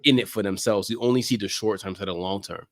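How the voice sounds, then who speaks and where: A man's voice: on the low side, quick pace, no echo, studio quality. A man chatting casually as an interview guest, emphatic and slangy, often repeating phrases to drive a point home. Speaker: guest, soccer podcast creator. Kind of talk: animated casual interview chat.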